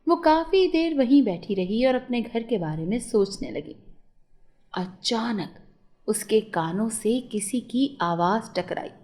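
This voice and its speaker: A woman's voice: on the high side, lively intonation, slow pace, a little mellow. A woman narrating a scripted moral story for children as a storyteller.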